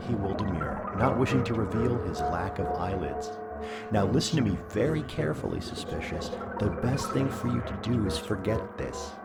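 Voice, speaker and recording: Over loud music comes a low-voiced, all-knowing Void played by a man. It dispenses advice with solemn, deliberate, deadpan certainty, in the manner of a comic audio drama. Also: vocal fry. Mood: urgent warning. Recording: loud music bed under voice.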